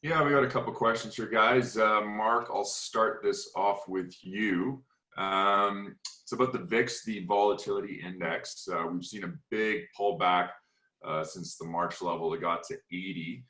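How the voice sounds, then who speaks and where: A man's voice: fairly low pitch, measured pace, sharp tone. A man moderating questions as a portfolio manager on a financial conference call. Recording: roomy, echoing conference call.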